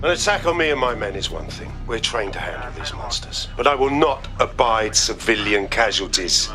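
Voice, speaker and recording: A hard-line security chief from a video game, played by a man, making a public address. His mid-range voice moves a lot in pitch as he speaks with stern, commanding rhetoric. Music plays behind him. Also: lively intonation, normal speed, lightly acted. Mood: stern resolve.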